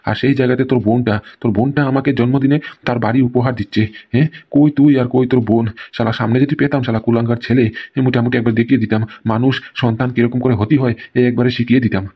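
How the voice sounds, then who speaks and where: A man's voice: mid-range voice, fairly even intonation, racing, mellow, slightly rough. A man playing a stubborn, grumbling family man with a blunt, repetitive way of talking in a comedy cartoon. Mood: grumbling, scornful. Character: stubborn, grumbling male head of a squabbling family. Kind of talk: Bengali comedy cartoon dialogue.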